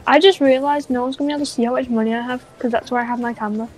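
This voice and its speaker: High-voiced young woman chatting over a game. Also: steady tone, rapid-fire, darkish tone. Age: young adult. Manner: playful, self-deprecating bantering. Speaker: gaming video host. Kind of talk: casual gameplay chat. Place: small room at home, indoors.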